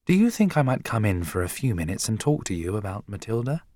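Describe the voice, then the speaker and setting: Man voicing an earnest, raised-pitch lady teacher. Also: very animated, fast, a little mellow. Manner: earnest, well-mannered, firm. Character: polite, resolute, slim young woman schoolteacher.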